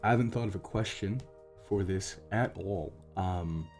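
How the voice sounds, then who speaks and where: An American man chatting casually and unscripted to his audience, halting and rambling, with self-deprecating, sweary asides. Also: sits low, darkish tone, faint music behind. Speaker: voice actor, channel creator. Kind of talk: casual unscripted outro chat.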